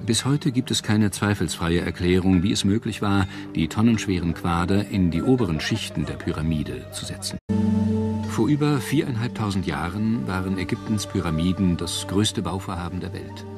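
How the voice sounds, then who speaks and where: Very deep male documentary narrator over music. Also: moderate pace.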